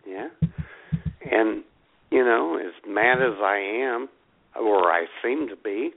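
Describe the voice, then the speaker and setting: A man's voice: on the low side, slow, slight background noise. A man co-hosting a casual comedy podcast, teasing his partner and calling himself an optimist. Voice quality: gravelly, teasing, self-described optimist. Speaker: podcast co-host. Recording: tinny phone-like audio.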